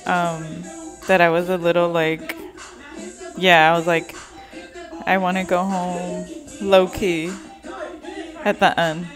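Young woman vlogger, slow easy delivery, giggly, phone clip under loud music. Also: fairly low pitch, level tone. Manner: laid-back, giggly, mixes in Spanish words. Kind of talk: casual vlog chat.

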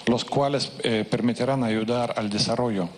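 Brief: male consecutive interpreter, hesitant delivery, mid pitch, faint hall hum. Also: fairly even intonation, moderate pace. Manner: hesitant, with fillers and restarts.

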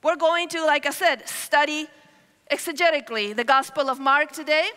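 Lively woman preaching in a hall. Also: high voice, moderate pace. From Argentina. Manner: animated storytelling with gestures, calls on the crowd. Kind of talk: sermon. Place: large hall stage with audience. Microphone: headset mic. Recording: reverberant large hall.

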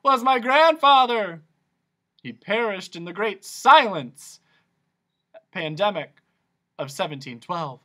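A man's voice: fairly high pitch, very animated, unhurried, fairly bright tone, voice pushed up, exaggerated voice. Man voicing the Awful Din, a roaring smog monster. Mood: anguished. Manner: roaring, laughs at own puns. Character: bellowing male smog monster, childish, moody.

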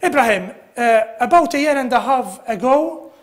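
A man's voice: very high-pitched, lively intonation, normal speed. A man in his thirties with a Maltese accent, hosting a TV current affairs show in a studio, switching between Maltese and simple English and repeating his guest's answers. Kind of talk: studio interview.

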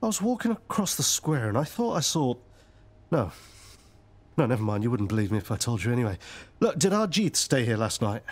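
An uneasy man in a radio soap. His husky, mid-pitched voice varies widely in tone and has a hesitant manner. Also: big pitch swings, a little fast. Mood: uneasy, sombre. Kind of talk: naturalistic radio soap acting.

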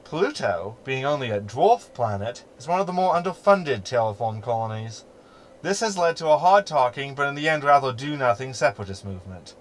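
A man's voice: mid-range voice, expressive, brisk, lightly acted. A man playing a snarky, meddling hologram in a sci-fi sitcom audio drama, talking in a glib, verbose, sardonic way. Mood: lecturing. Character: snarky, meddling male hologram ship companion.

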